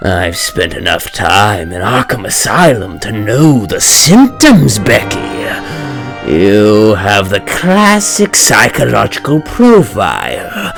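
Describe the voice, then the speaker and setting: A menacing, vengeful villain from a comic dub, with a gravelly voice that swings widely in pitch. The delivery is theatrical and deliberate, like someone savoring fear, over a prominent orchestral score. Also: male voice, huge pitch swings, over music, exaggerated voice. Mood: manic, agitated. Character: bitter, menacing male supervillain, obsessed with fear and revenge. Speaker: voice actor. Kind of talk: dramatic comic dub narration and acting.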